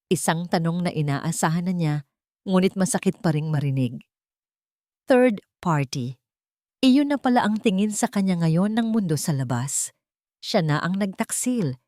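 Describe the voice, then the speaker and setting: A woman's voice: fairly low pitch, lively intonation, measured pace, long pauses, mellow, no echo, studio quality. A woman with a Filipino accent reading scripted dramatic narration as a storyteller.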